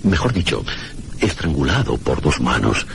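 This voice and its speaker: A stern official questioning someone, radio drama, super low creaky voice, formal. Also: male voice, sing-song, full character voice. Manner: formal, deliberate. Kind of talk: horror radio drama acting. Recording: noisy background ambience.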